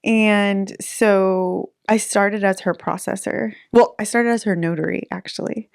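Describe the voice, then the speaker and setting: A woman's voice: medium pitch, steady tone, unhurried, gravelly, no room sound, clean studio sound. A woman in her thirties, a real estate agent chatting casually in an interview, laughing easily between fillers. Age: 30s.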